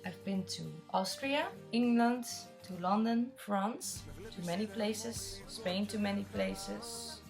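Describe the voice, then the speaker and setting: A woman's voice: medium pitch, slow, creaky, few pauses, over music. A woman in her twenties with a Dutch accent, chatting openly as she answers viewer questions in a vlog. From Netherlands. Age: 20s. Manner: chatty, open, rambling storyteller. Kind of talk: casual chat.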